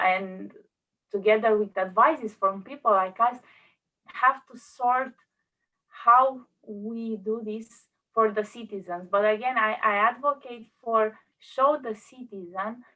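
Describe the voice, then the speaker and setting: A woman, speaking on an online panel in an earnest, halting way with many restarts. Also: on the high side, distant mic, narrow, tinny audio. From Romania.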